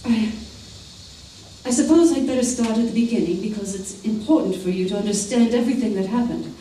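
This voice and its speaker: A woman's voice: fairly high pitch, fairly quick, mellow, a little hiss or hum, natural voice. A woman acting a tough, troubled woman confiding her crime in an old-time radio play on a stage. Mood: grave, confessional. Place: indoor theater stage. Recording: roomy stage, distant microphone, faint music.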